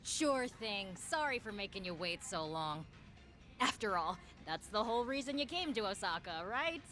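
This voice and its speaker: A woman's voice: medium pitch, moderate pace, soft background music. An actress voicing a cheeky, upbeat, streetwise young woman fixer in a video game, chatty and slangy. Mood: breezy. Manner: slangy, breezy, expressive. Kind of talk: English video game voice acting.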